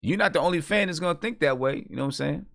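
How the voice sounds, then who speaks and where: A man's voice: a little high, expressive, rapid-fire, gravelly, fairly bright tone, no echo, clean studio sound. A man hosting a casual live music review chat, talking informally. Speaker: host of a music review show.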